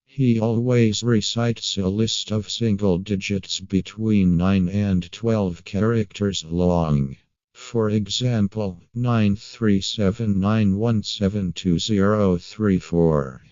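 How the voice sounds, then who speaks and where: A man reading a scripted creepypasta narration in a measured, matter-of-fact way. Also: fairly low pitch, steady tone, a little mellow, dry recording, highs cut off.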